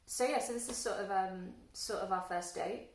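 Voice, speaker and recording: A woman's voice: medium pitch, moderate pace, slight background noise. Wry woman in an echoey room. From London, England. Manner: self-deprecating, wry, many fillers. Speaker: book vlogger. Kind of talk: casual self-introduction to camera. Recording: echoey room, a little far from the mic.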